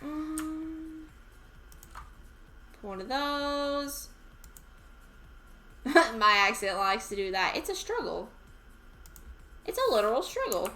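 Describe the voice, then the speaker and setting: A woman with a Southern US accent talks her way through a game stream in a relaxed, rambling way. Her voice sits a little high and swings freely up and down. She is easily amused and takes her time. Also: slow pace, long pauses, slight background noise. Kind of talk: casual gameplay chatter.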